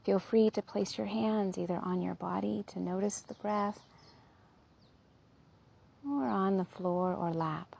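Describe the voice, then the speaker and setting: A woman leading a guided body scan meditation in a gentle, soothing, unhurried manner, with a soft, breathy, slightly husky voice. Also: medium pitch, fairly even intonation, muffled recording.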